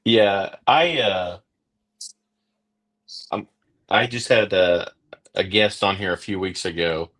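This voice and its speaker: A man's voice: low-pitched, takes it slowly, long pauses, fairly bright tone. A man with an Oklahoma accent hosting a podcast, chatting casually and rambling with many fillers and restarts. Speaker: podcast host. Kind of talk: casual interview chat.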